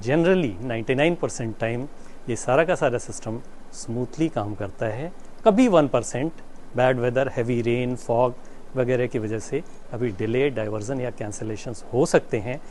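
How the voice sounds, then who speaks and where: Indian male official, medium pitch, formal explanatory delivery with deliberate pauses, recorded with faint noise behind him. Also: darkish tone. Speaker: air traffic control manager. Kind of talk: explanatory public address to camera. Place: inside an airport control tower.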